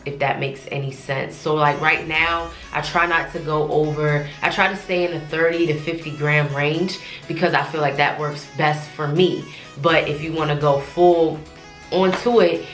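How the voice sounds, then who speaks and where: A low-pitched young woman talks casually to camera, her voice congested from a cold. She rambles, with frequent fillers and restarts. The room is echoey, with faint music behind her. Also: fairly bright tone. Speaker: vlogger.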